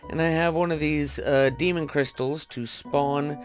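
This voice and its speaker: A man with a somewhat high-pitched voice gives casual gameplay commentary over background music. He thinks aloud as he goes, often questioning what he is doing in an informal, unscripted way. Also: fairly even intonation, unhurried. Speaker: gaming video host. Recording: music behind the voice.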